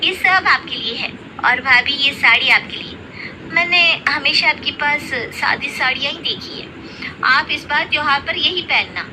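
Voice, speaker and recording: Spoiled rich younger sister-in-law for a story drama, female performer: high voice, showy, accusing, noisy recording. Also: normal speed, natural voice. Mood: boastful. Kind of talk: Hindi moral story acting.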